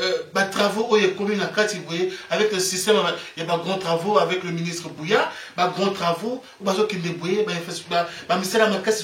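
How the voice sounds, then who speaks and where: Impassioned high-voiced Congolese man, echoey. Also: a bit bright, far from the mic. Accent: Congolese French. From Republic of the Congo. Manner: emphatic, repeats his phrases, preachy. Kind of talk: impassioned monologue. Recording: echoey room, away from the mic.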